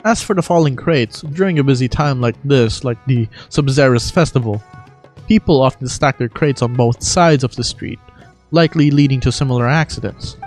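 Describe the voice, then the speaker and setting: A man's voice: mid-range voice, moderate pace, a little mellow, soft background music, close to an everyday voice. A man voicing an aloof, analytical young male scholar in a game, speaking formally and precisely. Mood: detached, explaining. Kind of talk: game character voice acting.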